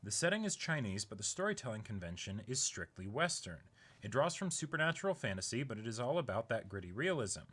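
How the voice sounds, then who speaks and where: A young man with a bright, crisp-edged voice sits in a small room hosting a casual video. He reads aloud in an informal, halting way, prone to chuckling between phrases. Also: mid-range voice, sing-song. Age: young adult. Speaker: YouTube unboxing host. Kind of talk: reading a viewer letter aloud, casual. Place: small indoor room, seated.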